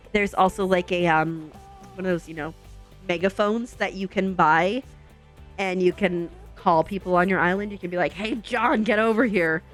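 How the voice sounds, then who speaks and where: Chatty young woman streamer, faint music. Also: mid-range voice. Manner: chatty, upbeat, giggly, talks to herself while building. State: slightly congested. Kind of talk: casual chat while playing a game.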